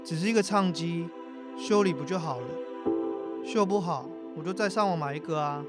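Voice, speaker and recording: A man's voice: a little high, normal speed, lightly acted. A man playing a young university student, a sulky but caring grandson who mutters expressively to himself in an everyday radio drama. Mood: sulky, aggrieved. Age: young adult. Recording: music under the voice. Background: new-age music.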